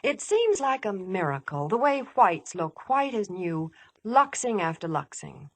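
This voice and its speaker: A woman's voice: medium pitch, big pitch swings, measured pace. A woman delivering scripted 1950s radio advertising chat as a polished, enthusiastic sponsor spokeswoman.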